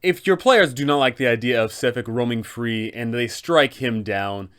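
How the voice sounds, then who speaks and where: A man's voice: medium pitch, normal speed, fairly bright tone, clean tone. The kind of man heard hosting an online tabletop roleplaying guide, reading scripted advice to game masters in a mid-range voice.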